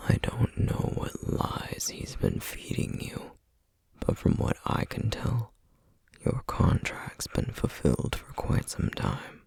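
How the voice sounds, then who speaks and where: A man playing a solemn, weary, duty-bound flame demon in an ASMR roleplay, whispering breathily and haltingly. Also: low-pitched, huge pitch swings, darkish tone, no room sound, exaggerated voice. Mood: grave, explaining. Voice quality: breathy, husky whisper with gravelly edges. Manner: slow, halting, measured delivery with heavy pauses. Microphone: close microphone, dry sound.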